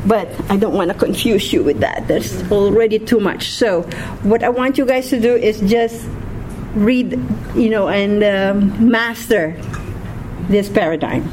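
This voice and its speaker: A woman's voice: medium pitch, a little slow. A woman with a Filipino accent teaching a grammar class, patient and repeating key words, often checking in with "okay?". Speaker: Hebrew teacher. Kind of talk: classroom lecture. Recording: noisy room recording.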